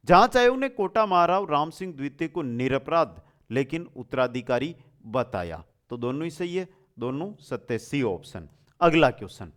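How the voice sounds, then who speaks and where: A man teaching an exam lesson in question-and-answer form, repeating answers and addressing students familiarly with rhetorical questions. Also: on the high side.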